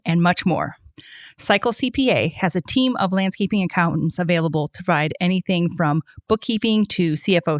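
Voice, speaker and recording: Lowish-voiced woman reading a sponsor spot. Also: no room sound, clean studio sound. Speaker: sponsor ad reader. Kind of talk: scripted ad read.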